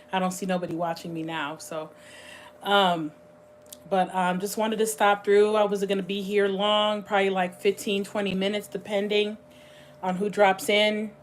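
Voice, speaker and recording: A woman hosting a casual livestream, chatting in a rambling, meandering way, her voice slightly hoarse and going scratchy. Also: mid-range voice, little pitch movement. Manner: rambling, chatty, thinks aloud with frequent pauses. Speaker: livestream host.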